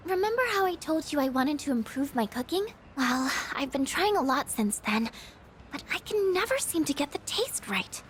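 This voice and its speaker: A woman playing a shy, gentle, insecure teenage girl in a video game, with a hesitant, apologetic, stammering way of talking. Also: sits high, big pitch swings, normal speed, soft background music. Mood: earnest, downhearted. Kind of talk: video game character dialogue voice acting.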